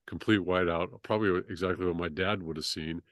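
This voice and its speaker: Middle-aged man with a very low, deep voice, a surgeon guest recounting his past in a halting, casual way, close dry sound. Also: steady tone, a bit bright, no room sound. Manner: halting, many restarts and fillers. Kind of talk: conversational storytelling interview.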